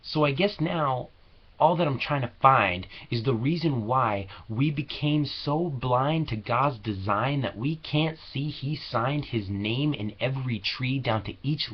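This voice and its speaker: A man reciting slam poetry with earnest, deliberate, dramatic phrasing, on a low-quality home recording. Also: lively intonation, husky, muffled recording. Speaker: slam poet.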